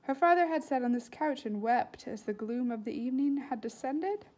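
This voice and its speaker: A woman reading a storybook aloud as an expressive narrator. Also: high voice, normal speed.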